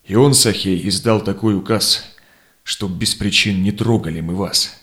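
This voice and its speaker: An actor playing a steadfast, conciliatory Cossack envoy in a verse audio drama, speaking in measured, persuasive declamation. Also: male voice, sits low, fairly bright tone. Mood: reasoning, persuasive. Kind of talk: theatrical verse drama acting.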